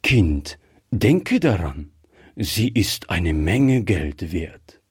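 Casting: elderly storybook shopkeeper played by a man, husky, slow-paced, guilt-ridden but kind, hesitant. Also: sits low, sing-song, darkish tone, dry recording, clean studio sound, full character voice. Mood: kindly, cautious. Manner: measured, hesitant, muttering. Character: grey-headed old male shopkeeper, cautious, guilt-ridden, gruffly kind. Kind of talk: acted storybook dialogue.

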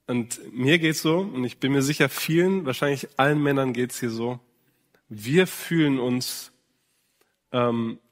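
Man preaching in a reverberant hall. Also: medium pitch. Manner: earnest direct address with rhetorical questions. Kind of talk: sermon to men.

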